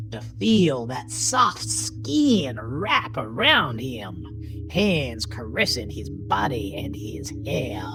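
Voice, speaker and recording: A woman narrating a horror story in a deliberate, theatrical manner with dramatic pauses. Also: a bass voice. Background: music bed.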